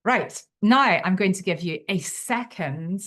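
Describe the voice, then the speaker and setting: A woman's voice: medium pitch, lively intonation, a little slow, fairly bright tone. A woman with a Belfast accent giving a live online tutorial, talking in an enthusiastic, chatty and unpolished way over a computer microphone. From Northern Ireland. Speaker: webinar presenter.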